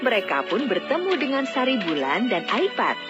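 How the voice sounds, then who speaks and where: A woman's voice: fairly high pitch, very animated, normal speed. Woman storyteller over music, dull sound. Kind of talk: scripted fairy tale narration. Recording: dull lo-fi audio, music bed. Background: background music.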